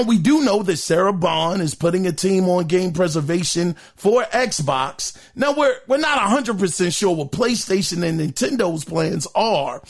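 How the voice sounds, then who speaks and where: A man hosting a gaming news podcast, talking casually with a hoarse voice and plenty of fillers, calling his listeners guys. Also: high voice. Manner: chatty, addresses listeners as guys, many fillers. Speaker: podcast host.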